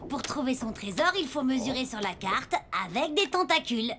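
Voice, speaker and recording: High, swooping young pirate crewmate. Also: female voice, moderate pace, a little hiss or hum. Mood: excited. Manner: expressive, exclamatory. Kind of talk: children's animated cartoon acting. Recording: cartoon music behind the voice.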